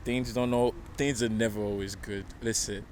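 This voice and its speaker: A man's voice: on the low side, fairly even intonation, moderate pace, a little mellow. A man chatting casually as a music artist in an interview, speaking in short fragments. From Nigeria. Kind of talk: casual chat. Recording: outdoor traffic noise.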